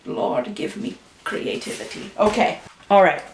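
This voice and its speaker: A woman's voice: lowish voice, sing-song, a little slow, vocal fry, noisy background. A woman casually making up and telling a story, chatty and thinking aloud. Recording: roomy echo from a distance, faint music.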